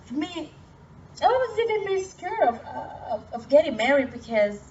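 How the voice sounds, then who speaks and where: A young woman from Cameroon talking about her life in a personal motivational vlog, halting and full of restarts. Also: high-pitched, very animated, darkish tone. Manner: hesitant, halting, many restarts. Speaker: vlogger. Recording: distant, muffled, light noise.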